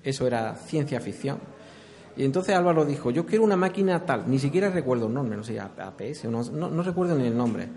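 A middle-aged man speaks with an accent from Spain in a medium-pitched voice. He recounts things conversationally as an interviewee, explaining step by step and often doubling back on his words. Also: lively intonation, fairly quick, dark tone. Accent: Spanish from Spain. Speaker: interviewee, former video game programmer.

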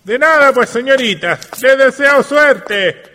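An actor playing a good-natured older man who buys raffle votes, talking slowly in an easy drawl. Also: very high voice, expressive, bright, forceful tone, in a large hall, slight background noise, heavily acted. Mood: obliging. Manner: slow, drawling, easygoing.

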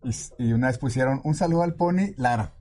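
Hombre chilango con leve ruido de fondo. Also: male voice, mid-range voice, quick pace, a little hiss or hum. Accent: Mexican Spanish. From Mexico City. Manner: relaxed, explains at length, laughs easily. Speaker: guest, water utility director. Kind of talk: casual interview.

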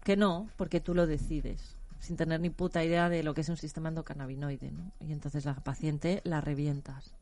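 A woman's voice: low-pitched, fairly even intonation, normal speed, hardly any pauses, mellow. A woman with a Spain Spanish accent talking bluntly and colloquially in a casual round-table conversation, with some swearing. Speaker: medicinal cannabis advocate and patient.

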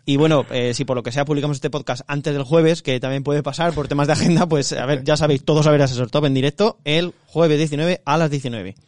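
A man's voice: a little high, fairly even intonation, quick pace, hardly any pauses, a little mellow, no room sound, studio quality. A man with a Spanish accent co-hosting a finance podcast, chatty and colloquial with wry humour. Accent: Spanish from Spain. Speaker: podcast co-host. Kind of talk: casual chat.

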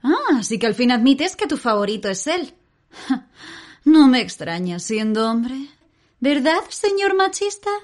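An actress from playing a spirited, teasing feminist heroine in an audio fiction. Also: female voice, high-pitched, very animated, measured pace. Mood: mocking. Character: sardonic, spirited feminist heroine of an unfinished story.

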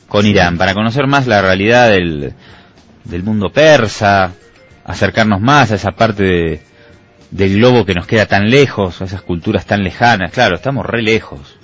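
A man in his thirties with an Argentine accent, co-hosting a radio show in a casual, joking, opinionated way. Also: fairly low pitch. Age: 30s. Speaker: radio co-host. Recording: dull lo-fi radio with faint music.

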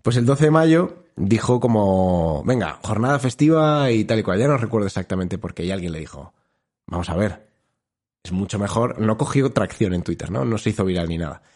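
A man's voice: on the low side, animated, moderate pace, darkish tone. Spanish guy rambling on a podcast, jokey, laughs a lot. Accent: Spain Spanish. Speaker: podcast co-host. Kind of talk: casual chat.

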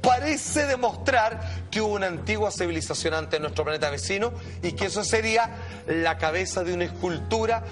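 A man with a Chilean accent presenting a talk show in an excitable, emphatic, slangy way, with theatrical build-up. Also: high-pitched, brisk, faint music behind.

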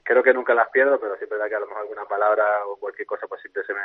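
A man with a softened Canarian Spanish accent, a footballer speaking in a phone interview, hesitant and repeating words with many fillers. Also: lowish voice, fairly even intonation, racing. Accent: Canarian Spanish, a little softened. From Canary Islands. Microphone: telephone. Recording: tinny phone line.

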